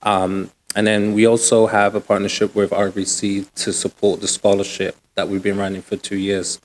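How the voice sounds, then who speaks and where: A man talks easily as an interview guest. His voice sits low, and he is relaxed and slangy, with plenty of ums and likes. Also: normal speed, poor audio. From Uganda, raised in the UK. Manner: relaxed, slangy, many fillers. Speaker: guest, nonprofit co-founder. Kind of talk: casual interview talk.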